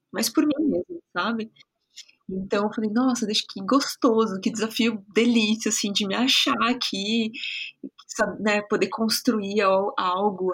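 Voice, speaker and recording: A middle-aged woman from São Paulo state, Brazil, talking as a podcast guest in a reflective, warm way, full of filler words and restarts. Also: mid-range voice, husky. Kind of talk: casual conversational interview.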